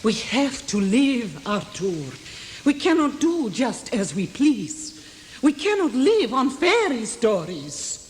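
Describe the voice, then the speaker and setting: A woman's voice: a little high, big pitch swings, slow, a little hiss or hum. An actress playing a blunt, scolding wife who scorns her writer husband in a radio play. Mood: firm, reproachful. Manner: blunt, scolding, emphatic short phrases.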